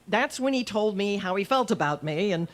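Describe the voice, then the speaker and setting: A woman acts out a wry, quick-witted schoolteacher in an old-time radio comedy. Her voice sits at an ordinary pitch, with dry and arch wisecracks. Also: expressive, lightly acted. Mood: wry, pleading. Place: live stage performance at a radio history event.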